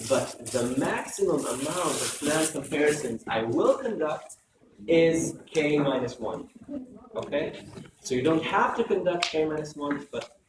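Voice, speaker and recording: A hoarse male lecturer teaching a class, repeating key points and often checking in with "okay?". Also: fairly high pitch, animated, measured pace. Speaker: university lecturer. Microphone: close microphone. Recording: echoey classroom from far off, noisy.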